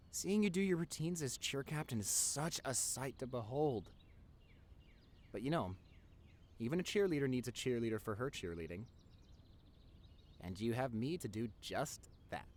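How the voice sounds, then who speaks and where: A man voicing a nerdy young boyfriend in an intimate roleplay audio. His pitch swings widely as he stammers eagerly, affectionate and teasing, talking one-on-one to his girlfriend. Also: close to an everyday voice. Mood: affectionate, encouraging.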